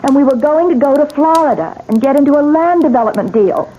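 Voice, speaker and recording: A distraught, stubborn wife in a 1970s radio mystery. Her voice is fairly high and swings widely in pitch, halting one moment and insistent the next. It comes through a muffled old recording with clear noise. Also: lively intonation. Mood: defensive. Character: distraught, defiant wife clinging to her missing husband. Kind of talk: 1970s radio drama acting.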